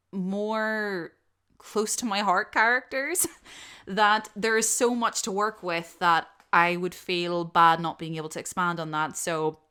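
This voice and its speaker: A woman's voice: lowish voice, sharp tone, smooth. A woman who creates audio dramas, talking casually and unscripted as she answers subscriber questions in a rambling, self-deprecating way.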